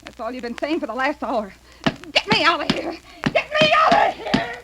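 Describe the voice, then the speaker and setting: An actress playing an imperious, sharp-tongued young scheming wife in 1930s radio melodrama, demanding and indignant. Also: female voice, sits high, very animated, moderate pace. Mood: furious. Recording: noisy, crackly 1930s radio recording.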